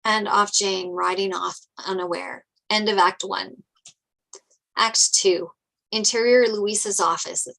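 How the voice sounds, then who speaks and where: A woman's voice: mid-range voice, steady tone, slow, gravelly, fairly bright tone, poor audio. A woman reading stage directions as scripted narration at a table read.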